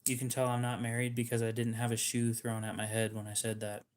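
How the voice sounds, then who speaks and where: A man hosting a livestream in casual chat, talking in a dry, monotone, subdued way that sounds depressed to him. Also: medium pitch. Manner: dry, self-deprecating, unhurried. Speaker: livestream host.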